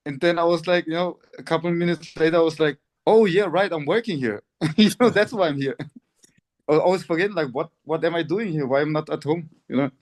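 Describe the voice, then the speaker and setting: Chatty man, higher voice. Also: a little fast. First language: Turkish. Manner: rambling, many fillers and restarts, laughs often. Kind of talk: casual chat.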